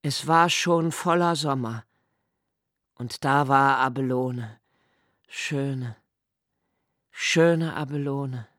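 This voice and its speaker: An actor playing a reflective, melancholy diarist in a literary radio play, speaking intimately and pensively with halting phrasing. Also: male voice, mid-range voice, fairly bright tone, no room sound, studio quality. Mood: tender, wistful. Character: reflective, melancholy male diarist recalling love and childhood.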